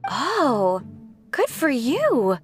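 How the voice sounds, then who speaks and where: A woman's voice: high-pitched, huge pitch swings, very slow, full character voice. A woman playing an aloof, sarcastic young female agent in a video game, with a sardonic drawl. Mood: snide. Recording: soft music behind the voice.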